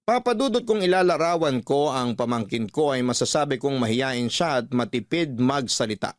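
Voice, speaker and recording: A man's voice: mid-range voice, no echo, studio quality, close to an everyday voice. A man playing a responsible uncle, narrating his story earnestly as scripted radio drama.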